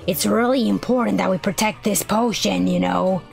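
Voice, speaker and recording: A woman's voice: medium pitch, normal speed, creaky. A woman voicing a bossy, self-important male scientist in a video game roleplay. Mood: commanding. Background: music.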